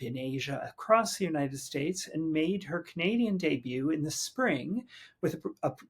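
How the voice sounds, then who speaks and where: A man hosts an interview podcast in a somewhat high voice with a gravelly, husky texture. He reads his opening in a measured, friendly way, then asks his questions with small restarts. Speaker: podcast host. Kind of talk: scripted introduction and interview questions.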